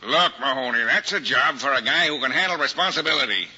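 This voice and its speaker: An actor playing a gruff, impatient, sarcastic boss with a raspy voice, scolding emphatically in an old-time comedy drama. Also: male voice, medium pitch, lively intonation, fast, bright, forceful tone, exaggerated voice. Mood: stern, sarcastic. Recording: dull vintage recording, long hollow reverb.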